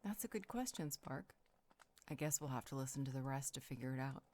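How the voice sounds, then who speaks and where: Woman as a low-voiced, teasing vampire healer. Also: animated, fairly quick, darkish tone. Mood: amused, teasing. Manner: playful, teasing. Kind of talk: audio roleplay acting.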